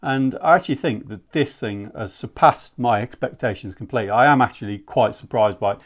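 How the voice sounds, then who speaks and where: A man's voice: mid-range voice, a little fast. A man giving a casual, candid product review in a conversational, rambling way. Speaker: airsoft gear reviewer.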